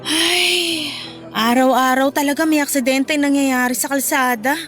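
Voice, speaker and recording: A woman's voice: high-pitched, unhurried, a bit bright, soft background music. A woman acting as a frank, teasing, caring young best friend in a Filipino radio drama. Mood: chatty.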